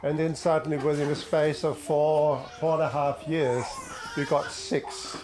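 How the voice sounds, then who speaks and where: An older man from Denmark, answering interview questions hesitantly with many pauses and fillers. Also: a little high, little pitch movement, noisy background. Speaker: project participant.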